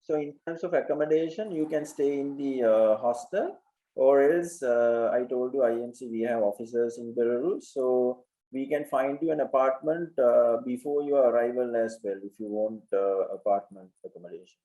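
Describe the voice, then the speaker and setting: A man's voice: medium pitch, normal speed, dark tone, muffled recording. A man presenting informally as an education agent, explaining things and often checking in with the listener.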